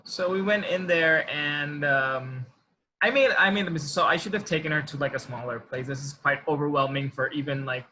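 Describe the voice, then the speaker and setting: A man in his thirties from Assam with an Indian accent, casually telling anecdotes as an interview guest, often halting and rewording as he goes. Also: fairly high pitch, sharp tone, slight background noise, low-quality recording.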